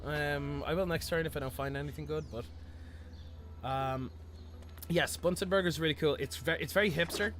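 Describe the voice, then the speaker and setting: A man's voice: on the high side, moderate pace, a bit bright. A young man from Ireland with a mild Irish accent, streaming a card game and chatting with viewers. He rambles, restarts often and pokes fun at himself. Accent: Irish, Dublin area, mild. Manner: rambling, many restarts, chatty and self-mocking. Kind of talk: casual stream chat while playing a card game. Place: small room indoors. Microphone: headset. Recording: game music behind voice.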